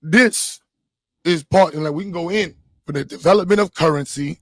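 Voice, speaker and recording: A man hosting a live debate and reading sources aloud, insistent and repetitive, with a slightly hoarse voice. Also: a little high, moderate pace, dry recording, clean studio sound. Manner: insistent, repeats himself. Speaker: debate host.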